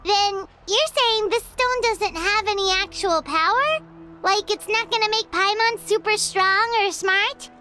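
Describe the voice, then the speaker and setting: A woman voicing a tiny, excitable, chatty fairy companion with a squeaky childlike voice in a video game. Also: measured pace, exaggerated voice. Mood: excited. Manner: refers to self in third person, exclamatory.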